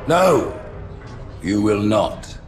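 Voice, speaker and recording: An actor playing a stern, solemn alien high priest in a video game, speaking in a pompous, oratorical way. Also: male voice, big pitch swings, extremely slow, exaggerated voice. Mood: ominous condemnation. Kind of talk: theatrical video game cutscene acting. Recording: loud orchestral music behind.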